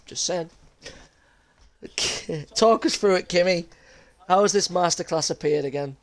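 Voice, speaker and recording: A man's voice: sits high, measured pace, a little hiss or hum. A young man hosting post-race interviews on a racing league stream, chatty and jokey. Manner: chatty, laughs a lot, jokey. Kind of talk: casual live-stream interview chat.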